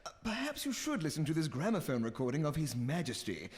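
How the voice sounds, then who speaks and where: An actor playing a long-suffering manservant with dry, deadpan understatement. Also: male voice, on the high side, quick pace. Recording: roomy hall with hiss.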